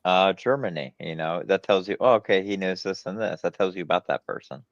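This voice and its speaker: Deep voiced american guy chatting, dry slightly muffled lo-fi mic. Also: a little fast, no echo. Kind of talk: casual discussion.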